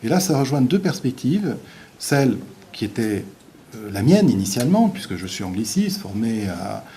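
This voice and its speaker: A male visiting university lecturer, a native Portuguese speaker, giving an academic lecture in a halting way with many restarts and fillers. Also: mid-range voice, sing-song, warm tone, slight background noise.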